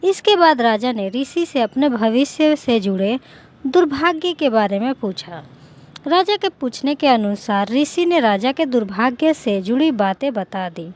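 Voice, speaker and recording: A woman's voice: a little high, expressive, measured pace. A woman reading a scripted moral story as a narrator.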